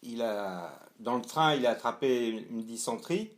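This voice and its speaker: A man's voice: medium pitch, lively intonation, normal speed. A man in casual conversation with his mother, prompting her and filling in details and dates. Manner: prompting, fills in details, adds history facts. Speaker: son interviewing his mother.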